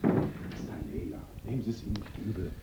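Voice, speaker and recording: A man's voice: low-pitched, fairly quick, lightly acted. An actor playing a talkative, deferential male police sergeant in a German radio drama, with an officious, knowing manner. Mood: sly, confiding. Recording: muffled, faintly noisy radio recording.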